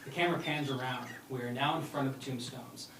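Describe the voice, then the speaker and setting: A man's voice: medium pitch, steady tone, fast talker, background noise. Roomy, distant man reading stage directions. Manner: stumbles over lines, breaks into laughter. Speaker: narrator reading stage directions at a table read. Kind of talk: live script read-through, narrating. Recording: roomy, distant mic, music behind.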